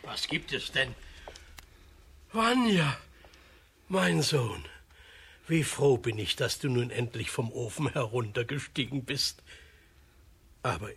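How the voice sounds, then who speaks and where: An ageing peasant father in a radio fairy tale. His voice is very hoarse-edged and creaky, speaking slowly with tender solemnity. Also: medium pitch, lively intonation, fairly bright tone, exaggerated voice. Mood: moved, tender. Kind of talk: children's radio play acting.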